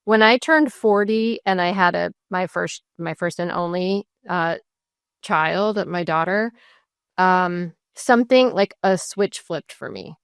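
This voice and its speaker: Creaky-voiced woman in her forties. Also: lowish voice, takes it slowly, no room sound, studio quality. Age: 40s. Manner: reflective, open, many restarts and fillers. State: tearful at moments. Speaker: podcast guest, entrepreneur. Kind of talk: candid conversational interview.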